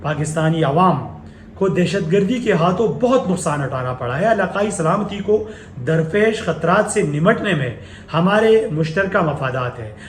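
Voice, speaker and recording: A man with a Pakistani accent presenting current affairs commentary, partly reading and partly speaking off the cuff with halting filled pauses. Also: a little high, animated. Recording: echoey room with faint background noise.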